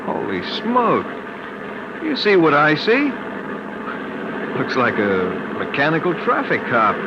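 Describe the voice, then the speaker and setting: Excitable truck guard. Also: male voice, fairly high pitch, slow pace, heavily acted. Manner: excitable, exclaiming. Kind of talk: 1950s radio drama acting. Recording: noisy lo-fi old radio broadcast.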